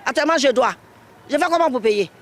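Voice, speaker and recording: A woman's voice: high voice, animated, quick pace. A woman street vendor giving a spontaneous, distressed testimony to a news crew. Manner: distressed, complaining. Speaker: market vendor interviewed for news.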